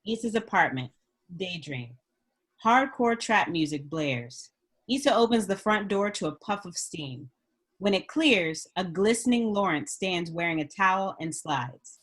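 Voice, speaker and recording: A woman's voice: fairly low pitch, animated, unhurried, a bit bright. A woman reading screenplay stage directions as a narrator at a script table read.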